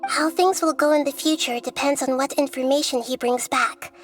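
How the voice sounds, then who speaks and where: A woman playing a young goddess of wisdom with a high, gentle voice. She explains calmly and thoughtfully, measuring every word. Soft music plays faintly underneath. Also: moderate pace, close to an everyday voice. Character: young-looking female god of wisdom, calm and gentle. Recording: game audio with faint music.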